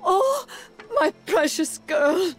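A woman's voice: very high-pitched, big pitch swings, very slow, faint music behind, heavily acted. A woman voicing a dramatic, manipulative middle-aged mother in a video game, fussing and gushing theatrically. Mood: gushing worry. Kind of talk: scripted animated game cutscene acting.

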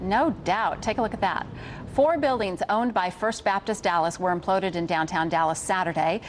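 Female anchor for television news, medium pitch. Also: normal speed, very noisy.

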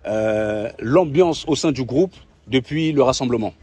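A man's voice: medium pitch, expressive, unhurried, darkish tone, slightly rough, far from the mic. A young man asking polite, formal interview questions as the interviewer, speaking outdoors. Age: young adult. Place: outdoors by a hotel pool.

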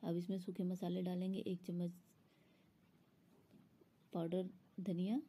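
A woman's voice: lowish voice, level tone, measured pace, mellow, slightly rough. A woman explaining a recipe step by step in an instructional voice-over, as a home cook. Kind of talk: step-by-step cooking tutorial voice-over.

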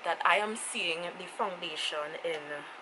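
A woman's voice: lowish voice, measured pace. A young woman in her twenties with a Trinidadian accent, giving a casual makeup review to camera. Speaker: beauty vlogger. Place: small room indoors. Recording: clearly noisy home camera recording. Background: clear ambient noise.

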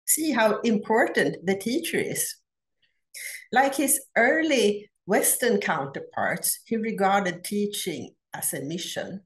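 A middle-aged woman from Sweden with a Swedish accent, giving a prepared academic conference talk over a video call, measured and deliberate with frequent pauses. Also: mid-range voice, lively intonation. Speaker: academic researcher presenting a paper. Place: home office. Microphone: laptop webcam on a video call.

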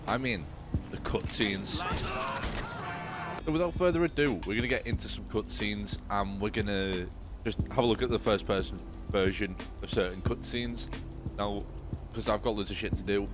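A young man casually introducing gameplay as a video creator, speaking into a close desk microphone. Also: on the low side. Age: young adult. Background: music.

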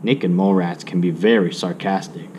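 A man reading a children's story aloud with measured, theatrical expression. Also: low voice, steady tone, a little mellow, a little hiss or hum.

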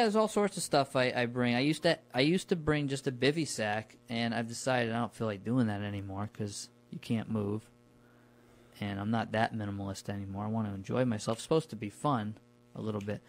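A man with a middle-pitched voice talks informally, as if swapping stories with a buddy. His wording is loose and colloquial, and he recounts things in an easy conversational way. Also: a little fast. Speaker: podcast guest recounting his camping trips. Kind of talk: casual conversational storytelling.

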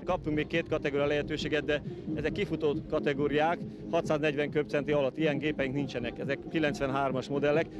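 Casting: matter-of-fact male official, monotone, slightly high voice, outdoors, music bed. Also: background noise. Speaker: sports official interviewee. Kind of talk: outdoor interview.

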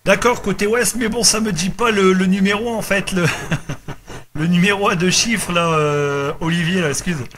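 Male radio ham, high forceful voice, casual, repetitive delivery, faint noise. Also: fairly even intonation, moderate pace. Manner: repeats himself, tag words. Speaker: amateur radio operator running a group contact.

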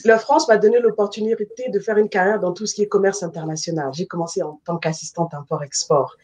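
Earnest, husky middle-aged woman from Congo. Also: mid-range voice, fairly quick, a little mellow. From Republic of the Congo. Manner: earnest, faith-filled, fluent testimony. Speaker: life coach guest. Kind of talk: guest self-introduction. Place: home room, video call. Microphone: webcam mic on video call.

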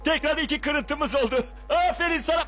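A small, hungry cartoon bug in an extremely high voice. The little fellow is whiny and fretful, hesitating and exclaiming, over clear background music. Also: male voice, fairly quick. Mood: fretful, excited. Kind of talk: children's cartoon dubbing. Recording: music behind the voice.

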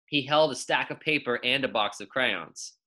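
A young man reading a children's story aloud as a teacher, with expressive, emphatic delivery and drawn-out key words. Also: medium pitch. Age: young adult.

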